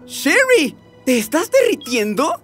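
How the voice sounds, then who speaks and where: A woman's voice: high voice, slow, heavily acted. A woman voicing a curious, upbeat boyish adventurer in a children's educational cartoon dub. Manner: excited, exclamatory, inquisitive. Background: music.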